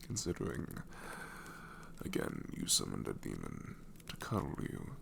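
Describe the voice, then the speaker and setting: A man voicing a gravelly sassy shadow demon. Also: extremely low pitch, huge pitch swings, unhurried, a little hiss or hum, exaggerated voice. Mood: musing. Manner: sarcastic, drawling, drops into whispers. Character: sassy married male shadow demon, grumbling but soft. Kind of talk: ASMR roleplay audio.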